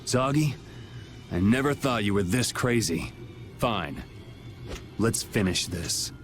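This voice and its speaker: Casting: young anime game hero, curt and sardonic, mid-range voice with lively swings, faint music. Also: male voice, lowish voice, takes it slowly. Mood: cool, curt. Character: blunt, impatient young male swordsman hero. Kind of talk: anime video game voice acting.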